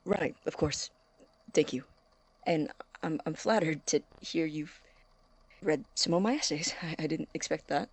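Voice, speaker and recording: An actor playing an eager, nervous young science student in an audio drama, hesitant, stammering and apologetically polite. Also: male voice, on the high side, a little slow, poor audio. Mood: flustered, polite.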